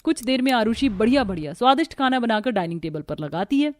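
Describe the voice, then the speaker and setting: High-pitched woman storyteller. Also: expressive, fast. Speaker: narrator. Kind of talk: scripted cartoon story narration.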